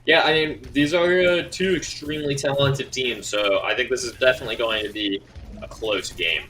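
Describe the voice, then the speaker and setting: Bright-voiced esports co-caster man. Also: medium pitch, moderate pace, a little hiss or hum, poor audio. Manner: relaxed, brief agreeing remarks and analysis. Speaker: color commentator. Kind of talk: esports commentary.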